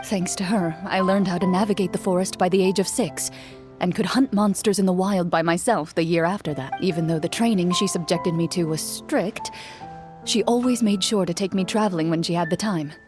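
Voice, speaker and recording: An actress playing a composed, reserved young female duelist in a video game, speaking in a formal, measured way. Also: lowish voice, over music, close to an everyday voice. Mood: calm, reflective.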